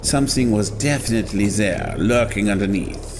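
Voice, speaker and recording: An actor playing a proud, suspicious gentleman in an audio drama, formal and emphatic, quick to take offence. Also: fairly low pitch, noisy background, close to an everyday voice. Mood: uneasy insistence.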